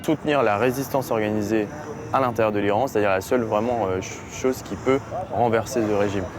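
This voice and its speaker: A man, talking earnestly and haltingly in an interview, with many fillers and restarts. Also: fairly low pitch, fairly even intonation, music in the background. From France. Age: 20s. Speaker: activist. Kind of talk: interview and conversation.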